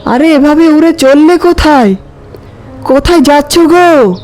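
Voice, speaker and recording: Cartoon bird: actress as inquisitive, folksy kite, high voice, unhurried delivery, faint music. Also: female voice, darkish tone. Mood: friendly, concerned. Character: chatty, concerned, friendly kite bird.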